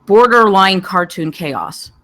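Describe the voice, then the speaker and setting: A panelist giving digressive, halting spoken feedback on a script, wandering from point to point. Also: mid-range voice, animated, pushed and bright. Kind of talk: rambling spoken critique.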